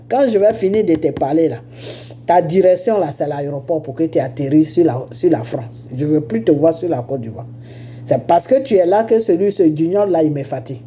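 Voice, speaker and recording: A woman's voice: lowish voice, dark tone, a little hiss or hum. A woman with an Ivorian accent and a head cold, giving an informal political monologue as a storyteller who punctuates it with rhetorical questions and check-ins. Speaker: online political commentator.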